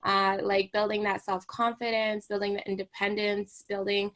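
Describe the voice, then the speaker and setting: A woman's voice: mid-range voice, flat delivery, moderate pace, a bit bright. A young woman from Hong Kong, a recreation therapist and guest on a radio interview, talking casually with many fillers and "right?" tags.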